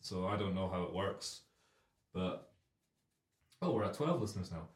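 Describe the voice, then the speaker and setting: Young man, host, deep phlegmy voice, jokey rambling, echoey room. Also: lively intonation, moderate pace. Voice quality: deep, carrying voice. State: phlegmy, clearing throat. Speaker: radio show host. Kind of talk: comic casual chat.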